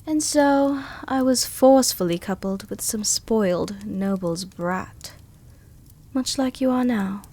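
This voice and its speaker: A woman playing a seductive, protective vampire countess in an intimate ASMR roleplay, speaking tenderly and teasingly with a soothing, soft-dominant manner. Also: mid-range voice, takes it slowly. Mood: wistful, bitter. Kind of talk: intimate ASMR roleplay, scripted character acting.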